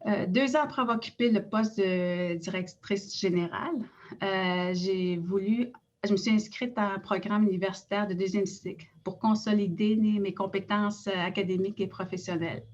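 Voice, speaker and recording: A middle-aged Innu woman with a Quebec French accent, reading a prepared personal account in a measured way with frequent hesitations. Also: medium pitch, a little mellow, lo-fi sound. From Innu community in Quebec. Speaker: director of an Innu cultural institute.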